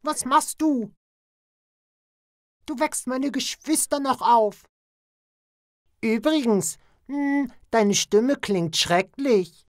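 Young musician goat played by a woman: wary, firm, high-pitched, unhurried, kids' cartoon. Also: no echo, studio quality. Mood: annoyed. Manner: deliberate, firm, scolding. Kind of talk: children's cartoon voice acting.

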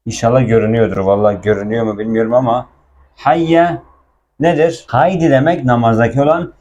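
Man, dark relaxed voice, casual lesson delivery, explanatory, repetitive translations. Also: medium pitch, brisk, lo-fi sound, slight background noise. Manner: explanatory, repeats words and translations. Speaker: Arabic teacher. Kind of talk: casual language lesson explaining film dialogue.

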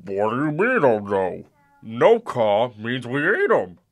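A dopey giant brute in a radio comedy. His pitch leaps around wildly, and he comes across as slow-witted and easily amused. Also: huge pitch swings, exaggerated voice. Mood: threatening. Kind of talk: comedic audio drama acting.

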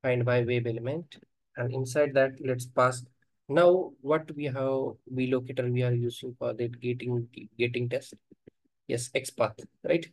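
A man teaching software testing in a screen-recorded coding tutorial, talking haltingly and thinking aloud while he types, with frequent fillers. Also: mid-range voice, dry recording, poor audio.

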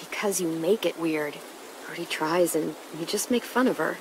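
A woman's voice: deep voice, moderate pace. A woman playing a level-headed teenage girl survivor in a video game, direct and composed. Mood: frank, composed. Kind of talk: naturalistic video game drama acting. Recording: clear insect and nature noise behind.

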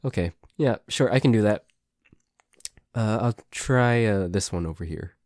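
Slow-paced, grumbling tsundere boyfriend played by a man. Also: lowish voice, lively intonation, darkish tone, dry recording, clean studio sound. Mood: hesitant, grudging. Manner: stammering, hesitant, grudging, sweary. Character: grumbling, flustered tsundere boyfriend, secretly affectionate. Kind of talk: ASMR boyfriend roleplay audio.

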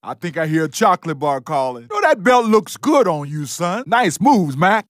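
A man's voice: high voice, big pitch swings, normal speed, a bit bright, no room sound, clean studio sound. An actor playing an upbeat, fatherly boxing trainer in a video game, encouraging his young fighter in folksy exclamations. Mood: teasing, pleased. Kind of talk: video game voice acting, short victory barks.

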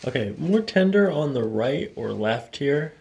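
Male chiropractor, thirties, creaky medium-pitched voice, calm clinical delivery, reassuring and matter-of-fact with a patient. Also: measured pace. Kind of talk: clinical exam talk, narrating findings to a patient. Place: small carpeted chiropractic office, indoors.

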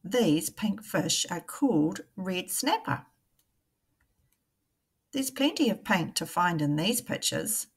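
Slow-paced woman reading to young children. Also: lowish voice, big pitch swings, husky. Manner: deliberate, enunciated, questioning phrasing for children. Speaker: primary school teacher reading a picture book aloud. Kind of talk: read-aloud storytelling for young children.

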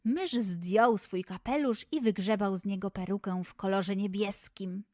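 A woman narrating and hosting a children's story podcast, deliberately addressing young listeners. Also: medium pitch, mellow.

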